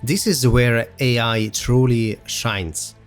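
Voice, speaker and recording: A man presenting a semi-scripted software promo narration in a halting way, with frequent pauses. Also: medium pitch. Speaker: presenter of a software roadmap video. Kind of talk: semi-scripted promotional narration over a flight simulator demo. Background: music.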